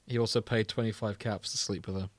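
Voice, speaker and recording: A man's voice: lowish voice, fairly even intonation, fast talker, no room sound. A man hosting a podcast in casual banter, chatty, teasing and wry, sometimes reading fan fiction aloud. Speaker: podcast host.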